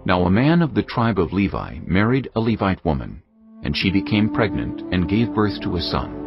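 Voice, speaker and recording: A man narrating scripture in a measured, solemn way. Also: low-pitched, sing-song. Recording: dull studio track under music.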